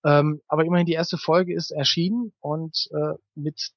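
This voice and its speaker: A man's voice: a little high, fairly even intonation, normal speed, dry recording. A man answering questions conversationally as an author being interviewed. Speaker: author interview guest, writer of novels and audio dramas.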